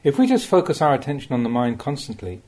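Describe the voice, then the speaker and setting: A man reading a Buddhist teaching aloud as an audiobook, as a monk would, with measured and expressive phrasing. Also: medium pitch. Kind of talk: read-aloud Dhamma talk, audiobook narration.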